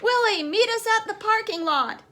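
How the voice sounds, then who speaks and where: A woman voicing an eager, bold young boy in a storybook, exclaiming with urgency and excitement. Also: very high voice, normal speed, voice pushed up, exaggerated voice. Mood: urgent shouting. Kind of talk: storybook read-aloud character voice.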